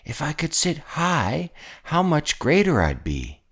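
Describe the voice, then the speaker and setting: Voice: medium pitch, huge pitch swings, measured pace, a bit bright, breathy edge, pitched above the performer's own voice, exaggerated voice. A storyteller voicing a boastful, tyrannical turtle king with a pompous, bellowing, commanding manner for a children's picture book. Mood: gloating.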